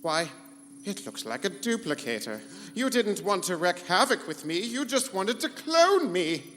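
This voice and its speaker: A man's voice: high-pitched, big pitch swings, unhurried, fairly bright tone, full character voice. Man acting as pompous rogue computer in echoey hall. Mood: wounded, accusing. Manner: pompous, theatrical, formal wording. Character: pompous, scheming, morality-free rogue spaceship computer, male-voiced. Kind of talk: live comedic sci-fi radio play in 1940s serial style. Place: convention hall stage before live audience. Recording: echoey live hall, humming background noise.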